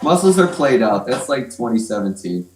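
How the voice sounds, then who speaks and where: A man's voice: medium pitch, big pitch swings, normal speed, darkish tone, a little hiss or hum. A man with a mid-range voice co-hosting a podcast, trading dry, teasing jokes. His voice sounds roomy and echoey. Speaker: podcast co-host. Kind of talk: casual banter.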